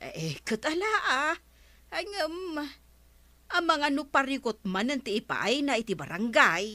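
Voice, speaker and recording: A woman's voice: sits high, sing-song, measured pace, sharp tone, no room sound, exaggerated voice. A woman playing a stubborn village councilor in a comedy radio drama, arguing her point insistently. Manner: argumentative, insistent.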